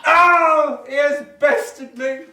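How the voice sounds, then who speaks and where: A woman's voice: high voice, extremely slow, bright, forceful tone, recorded from a distance, exaggerated voice. A woman playing a mischievous actor who teases and spouts mock-heroic lines in a radio play. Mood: mock-tragic. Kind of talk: comic radio play acting. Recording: echoey room, off the mic.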